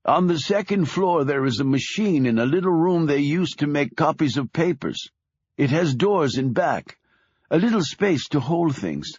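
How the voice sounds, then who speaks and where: A man voicing a timid, tearful Polish immigrant man who speaks halting broken English with a Polish accent, in an audiobook. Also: on the high side, lively intonation, darkish tone, husky, dry recording, highs cut off, voice pushed up. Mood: shaken.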